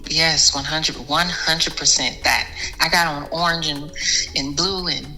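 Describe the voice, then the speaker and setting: A woman's voice: sits low, steady tone, unhurried, sharp tone, rough recording. A woman guest in a casual interview, talking animatedly about her music with a soothing voice, often restarting words. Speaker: podcast guest, singer.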